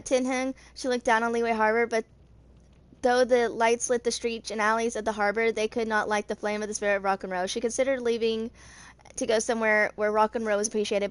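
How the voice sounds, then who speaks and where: A woman in her twenties reading written game lore aloud in an amateur narration, haltingly, stumbling over words and rereading them. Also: on the high side, dry recording. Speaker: video creator reading game character lore.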